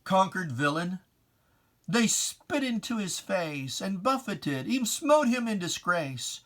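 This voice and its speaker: A person giving a dramatic reading of religious verse in a theatrical, deliberate, taunting manner with pointed pauses. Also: medium pitch, big pitch swings. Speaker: poetry reader.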